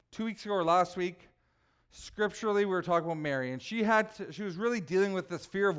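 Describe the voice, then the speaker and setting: A man preaching a relaxed, conversational sermon, joking with his congregation and teasing people by name. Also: sits high, clean tone. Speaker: pastor.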